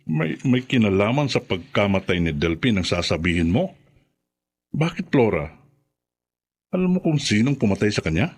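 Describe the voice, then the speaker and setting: An older father-in-law in a radio drama, grave and secretive. His voice sits fairly low but swings widely, with halting, insistent delivery. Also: sing-song, breathy edge, full character voice. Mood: alarmed, pressing.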